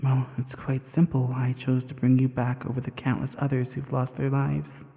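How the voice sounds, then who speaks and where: A man playing a possessive, tender evil sorcerer in an intimate audio roleplay, speaking soothingly and with soft menace straight to the listener. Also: medium pitch, monotone, normal speed, gravelly, lightly acted. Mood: devoted, tender. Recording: long hollow reverb.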